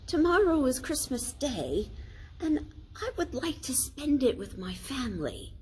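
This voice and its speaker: A woman's voice: high voice, big pitch swings, a little slow, darkish tone, a little hiss or hum, pitched above the performer's own voice. A woman voicing a timid, kindly, overworked male clerk in a storybook, speaking politely. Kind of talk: storybook character voice.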